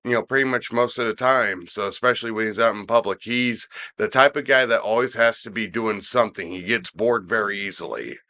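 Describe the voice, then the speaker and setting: A man's voice: lowish voice, fairly quick, few pauses, bright, forceful tone. A middle-aged man with a stuffy, slightly nasal voice, rambling through a casual podcast chat with many restarts. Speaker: podcast co-host discussing wrestling.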